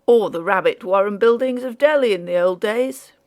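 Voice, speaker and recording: A woman voicing a cool, tactful gentleman in a storybook, measured, polite and understated. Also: medium pitch, close to an everyday voice. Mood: musing, polite. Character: cool, tactful English gentleman, civilian guest.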